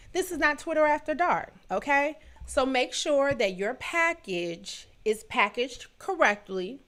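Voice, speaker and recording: Sassy, emphatic woman livestream host. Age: 30s. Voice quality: booming voice. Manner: sassy, emphatic, playfully scolding. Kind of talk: solo livestream commentary.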